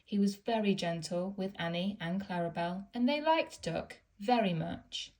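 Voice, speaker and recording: A woman's voice: mid-range voice, measured pace. Woman reading a children's story aloud. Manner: expressive, gentle storytelling.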